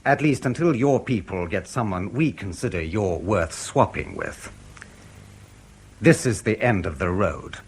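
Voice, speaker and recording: An actor playing a formal, coolly polite and quietly threatening senior intelligence officer in a radio drama. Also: male voice, on the low side, animated, a little hiss or hum. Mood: quiet menace. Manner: formal, measured, politely menacing.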